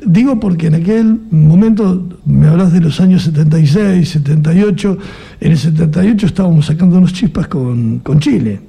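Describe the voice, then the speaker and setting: A man's voice: on the high side, a little fast, gravelly, mellow, slightly rough. A middle-aged man with an Argentine Spanish accent, interviewing a guest in a chatty, musing way, often ending thoughts with a questioning tag. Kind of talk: conversational interview.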